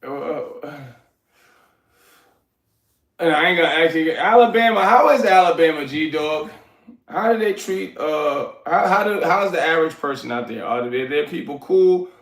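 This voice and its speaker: A man, hosting a casual livestream, giving hyped shout-outs to viewers and rambling in streetwise slang. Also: on the high side, expressive, normal speed. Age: 40s. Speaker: livestream host. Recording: echoey room.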